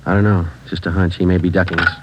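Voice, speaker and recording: A man's voice: low voice, flat delivery, fast talker, lo-fi sound, noisy background, natural voice. An actor playing a clipped, matter-of-fact male police sergeant who also narrates. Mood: terse. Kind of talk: 1940s radio drama narration. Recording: old radio broadcast with music.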